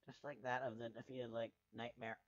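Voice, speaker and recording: A man's voice: mid-range voice, little pitch movement, normal speed, no echo. Male fan fiction narrator, sardonic and exasperated, dry close sound, slightly lo-fi. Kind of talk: dramatic first-person story narration.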